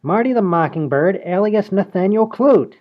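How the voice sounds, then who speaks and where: A man's voice: sits high, expressive, normal speed. A man voicing a brash, scheming small-time crook in a comedy radio play. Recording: dull lo-fi audio.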